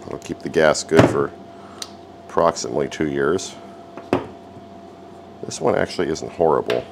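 A man's voice: very deep voice, a little hiss or hum. A man hosting a repair video, narrating casually and wryly as he works at a garage bench. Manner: relaxed, wry, talks while working.

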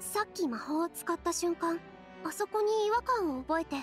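とても高い声の優しい森の妖精. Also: female voice, extremely high pitch, lively intonation, normal speed, faint music behind. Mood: gentle, earnest. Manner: soft, gentle, earnest. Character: gentle young female forest fairy, kind and earnest. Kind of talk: anime video game voice acting.

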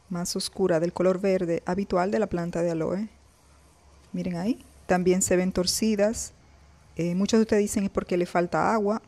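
A woman with a low-pitched voice talks through a casual gardening vlog. She speaks to her viewers as she films her plants close-up on a phone outdoors on a balcony, pointing things out one by one. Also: a little mellow. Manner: chatty, addresses viewers directly, points things out. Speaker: gardening vlogger.

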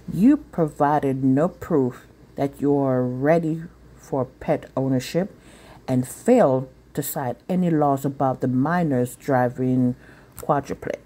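A woman's voice: very deep voice, slow, dark tone, exaggerated voice, rough recording. A woman voicing a firm mother who is a judge in a storybook, formal and dismissive.

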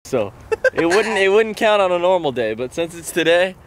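A man's voice: high voice, very animated, normal speed. A young American man chatting casually and with upbeat slang for a fishing vlog, outdoors by the water. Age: young adult. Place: outdoors by a pond and creek. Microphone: handheld camera at arm's length. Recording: clear outdoor ambient noise.

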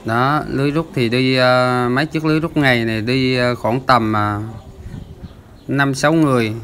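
Bright-voiced man explaining fishing footage. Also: mid-range voice, steady tone, normal speed, a little hiss or hum. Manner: chatty, explaining, many filler sounds. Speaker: fishing channel host. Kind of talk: casual voice-over commentary.

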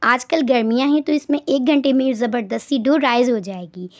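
A woman's voice: a little high, normal speed. A woman giving step-by-step recipe instructions as a voice-over, often doubling words for emphasis. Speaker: cooking channel host.

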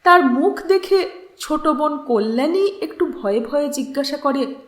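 Female audiobook narrator, very animated intonation, unhurried delivery, literary reading. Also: high voice. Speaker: channel host and story narrator. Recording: roomy, echoing voice recording.

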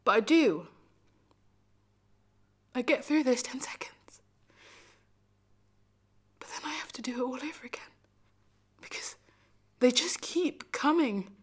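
A woman performing a dramatic monologue as a lonely, heartbroken young lover, confessional and emphatic, moving between hushed and forceful delivery. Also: fairly high pitch, husky, exaggerated voice. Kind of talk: dramatic stage monologue, self-taped acting showreel.

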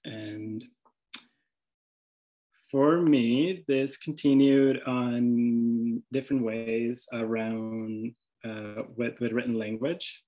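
A man's voice: medium pitch, steady tone, very slow, dull audio. A man from Venezuela with a Venezuelan accent, an artist presenting his work over slides, hesitant, with many restarts and fillers.

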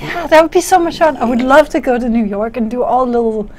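A young Danish-born woman speaks English as a guest in a small studio, her voice pitched fairly high. She talks openly and laughs easily. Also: fairly quick. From Denmark. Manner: open, laughing, easygoing. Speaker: author and guest. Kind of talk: studio interview chat. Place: small studio with dark curtain.

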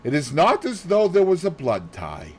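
A man voicing a fussy, excitable little Belgian detective in an audiobook, theatrical and precise, dropping in French phrases. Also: fairly high pitch, moderate pace, husky, faint music behind, voice pushed up, heavily acted. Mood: grave. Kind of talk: audiobook character voice.

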